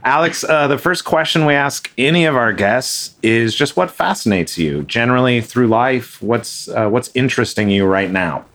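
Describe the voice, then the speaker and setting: A man's voice: medium pitch, animated, normal speed, hardly any pauses, fairly bright tone. Chatty male podcast host. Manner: friendly, chatty, with many fillers and restarts. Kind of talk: casual interview chat.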